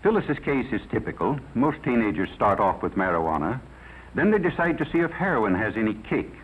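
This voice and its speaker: A man's voice: mid-range voice, very animated, a little fast, breathy edge. An American man playing a grave, moralizing judge, narrating a 1950s propaganda film in an emphatic, preachy way with rhetorical questions. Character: grave, moralizing judge warning about narcotics. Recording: tinny, muffled 1950s film soundtrack.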